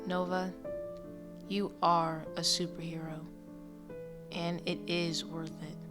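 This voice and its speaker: A woman playing a calm, supportive therapist in a radio drama, speaking in a measured, earnest, reassuring way. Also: on the low side, lightly acted. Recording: music bed under the voice.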